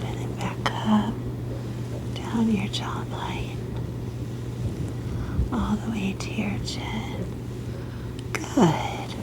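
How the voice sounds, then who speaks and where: Softly whispering woman, slow and soothing. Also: mid-range voice, poor audio. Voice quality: soft breathy whisper. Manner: gentle, soothing, reassuring doctor's patter. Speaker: ASMR performer playing a doctor. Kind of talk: whispered ASMR medical roleplay.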